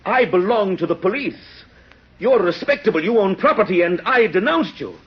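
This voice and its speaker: An actor playing a rigid, self-punishing police inspector in a 1930s radio drama, formal and insistent. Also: male voice, sits high, lively intonation, moderate pace, husky. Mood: anguished, insistent. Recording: dull old 1930s radio recording.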